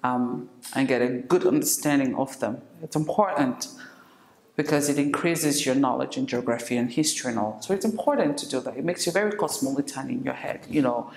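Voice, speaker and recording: A woman teaching an English lesson, explaining step by step and repeating example words slowly for learners. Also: extremely low pitch, a little mellow. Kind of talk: recorded classroom lesson. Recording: echoey room.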